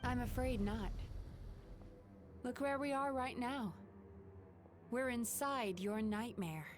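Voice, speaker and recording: Composed, frank therapist for a game: earnest, articulate, slightly high voice. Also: female voice, slow, music in the background. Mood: grave, explanatory. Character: composed, frank woman therapist, gently stern. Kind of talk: video game dialogue acting.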